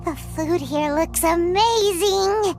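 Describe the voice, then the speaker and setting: A woman's voice: very high-pitched, slow, heavily acted. A woman voicing a tiny, bubbly floating companion in a game who talks about herself in the third person. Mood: excited. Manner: bubbly, exclamatory, refers to herself by name. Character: tiny chirpy floating companion girl, excitable. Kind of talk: English game voice acting. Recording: game audio under loud music.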